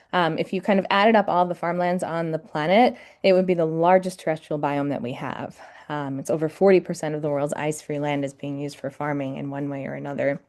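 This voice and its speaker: Lower-voiced woman giving a webinar talk. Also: mellow. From New York City. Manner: informal, with frequent fillers. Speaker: academic lecturer. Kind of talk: webinar lecture. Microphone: computer mic on a video call.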